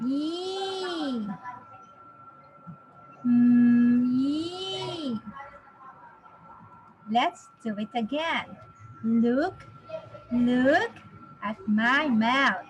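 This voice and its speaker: A woman in her thirties teaching a children's phonics lesson, encouraging, with exaggerated, deliberate enunciation for young learners. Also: high-pitched, lively intonation, darkish tone, faint music behind, poor audio. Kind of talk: children's phonics lesson, sounding out letters and words.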